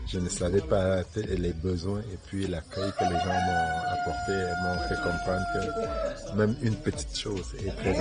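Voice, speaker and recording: A man from Cameroon, interviewed as a visiting donor, speaking haltingly with many fillers. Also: sits low. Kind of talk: casual interview.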